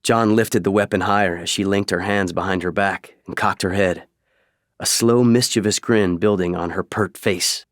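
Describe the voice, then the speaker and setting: A man's voice: deep voice, steady tone, moderate pace, no echo, clean studio sound. A man reading scripted fiction as an audiobook narrator.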